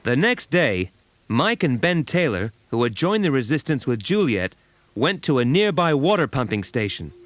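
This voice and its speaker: A man's voice: fairly high pitch, fairly bright tone. A man giving scripted, dramatic narration as the storyteller of an audio storybook. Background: music.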